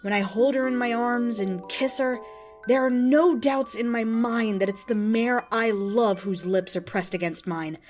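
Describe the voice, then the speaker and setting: A woman's voice: fairly high pitch, a little slow, faint music behind, natural voice. A woman voicing an earnest, lovestruck and easily offended devotee in a dramatic, emphatic reading of an open letter. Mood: tender, devoted. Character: earnest, lovestruck, indignant devotee of a cartoon pony.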